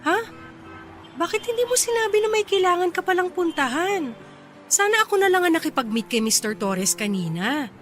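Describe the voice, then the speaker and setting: An actress playing a proud, easily irritated but bashful young office boss in a Filipino radio drama, with a Filipino accent. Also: female voice, very high-pitched, very animated, moderate pace, fairly bright tone, soft background music, full character voice. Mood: scolding, fretful. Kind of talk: radio drama acting in Taglish.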